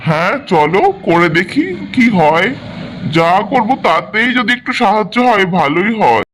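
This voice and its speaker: Man voicing a high-voiced humble Bengali farmer. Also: animated, normal speed, a bit bright, soft background music. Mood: concerned, agreeable. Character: humble, good-natured poor farmer, devoted husband and father. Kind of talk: cartoon voice acting.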